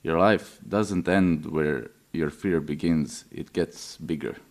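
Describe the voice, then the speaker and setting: A man's voice: extremely low pitch, takes it slowly, darkish tone. A man in his twenties from Serbia, giving a personal testimony hesitantly, with many fillers and restarts. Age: 20s. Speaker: interviewee.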